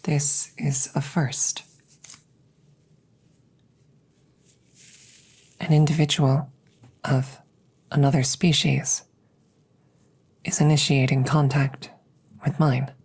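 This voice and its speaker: Low, soft-spoken alien scientist played by a woman. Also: level tone, full character voice. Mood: curious, probing. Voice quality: soft, breathy close whispering. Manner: measured, halting, formal, clinical phrasing. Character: female alien scientist, clinical, curious, calm examiner. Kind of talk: whispered ASMR audio roleplay. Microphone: close-up microphone.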